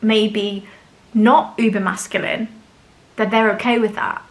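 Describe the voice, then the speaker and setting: A woman vlogger talking straight to her viewers in a loose, chatty way. Her speech keeps filling with "like" and "you know" as she thinks aloud. Her pitch sits in an ordinary middle range. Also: little pitch movement, unhurried, rough recording. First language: English. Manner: chatty, frank, with many "like" and "you know" fillers. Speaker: spiritual channel host. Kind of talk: casual talk to camera.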